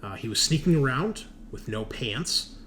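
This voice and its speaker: A man working as game master, recapping a tabletop roleplaying session in a halting, informal way. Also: medium pitch, animated, a bit bright, slight background noise.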